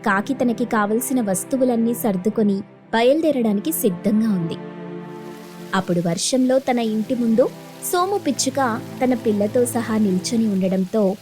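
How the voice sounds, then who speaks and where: High-voiced woman narrating a children's story. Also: moderate pace, music in the background.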